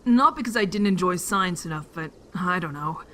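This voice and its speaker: A woman's voice: on the low side, lively intonation, normal speed, fairly bright tone. A woman playing a mild, idealistic young scientist in an audio drama, talking in a casual, reflective way. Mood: wistful. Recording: slightly noisy amateur recording with ambience.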